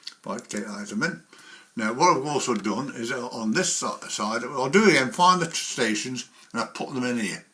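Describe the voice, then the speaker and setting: A man presents a casual software walkthrough in a medium-pitched voice. He talks in an informal, rambling way, working through each step as he explains it. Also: lively intonation, normal speed. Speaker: presenter of a model railway software tutorial. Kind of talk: casual spoken screen tutorial.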